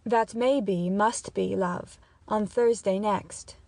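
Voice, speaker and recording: A woman playing a courtly, confident young nobleman in a measured, formal Shakespearean dramatic reading. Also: mid-range voice, fairly even intonation, gravelly, warm tone, no room sound. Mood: courtly, assured.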